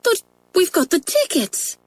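A woman putting on a well-mannered, worried schoolgirl, pitched high and bright with lively swings. She pleads politely and earnestly. The sound is dry and close. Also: unhurried, no room sound, heavily acted. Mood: pleading. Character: polite anxious schoolgirl twin. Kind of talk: audiobook character voice.

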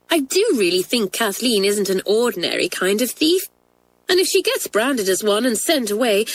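A woman's voice: fairly high pitch, pitched above the performer's own voice, poor audio. Woman doing an eager, earnest schoolgirl. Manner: earnest, pleading, excitable. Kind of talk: storybook reading.

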